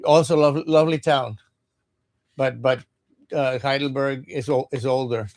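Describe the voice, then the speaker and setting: A man from Cuba, reading tarot cards in a halting, casual livestream chat and often repeating his words. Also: mid-range voice, steady tone, darkish tone. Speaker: tarot reader.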